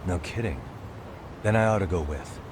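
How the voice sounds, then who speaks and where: Low-voiced, casual detective played by a man. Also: normal speed, background noise, lightly acted. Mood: relaxed, friendly. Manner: casual, conversational. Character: laid-back, sharp male private detective. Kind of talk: video game voice acting.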